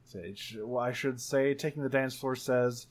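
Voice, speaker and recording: A man plays a tabletop role-playing game, narrating his character's actions in a casual, improvised way. His pitch sits in the middle of the male range. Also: normal speed. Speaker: tabletop role-play player.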